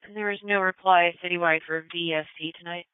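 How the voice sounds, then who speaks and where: A woman's voice: on the low side, fairly even intonation, fast talker, clean tone, no room sound, phone-quality sound. A woman working as a police dispatcher, speaking in clipped, procedural radio calls over a scanner feed. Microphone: two-way radio dispatch mic. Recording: thin band-limited police scanner radio.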